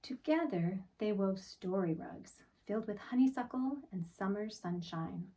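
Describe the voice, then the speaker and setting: Slow-paced woman reading a children's storybook. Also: medium pitch, animated, dark tone, far from the mic, poor audio. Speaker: picture book reader. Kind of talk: storytime read-aloud for children.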